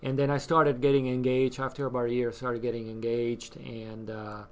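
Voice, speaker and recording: A middle-aged man from Cuba, talking in a relaxed interview. He hesitates often, with many fillers and restarts. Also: mid-range voice, moderate pace. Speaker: guest, scouting volunteer. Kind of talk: casual interview chat.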